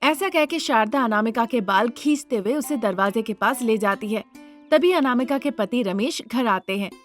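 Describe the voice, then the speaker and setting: A woman narrates a scripted cartoon tale. Her voice sits fairly high. Faint music runs behind the storytelling. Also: animated, normal speed.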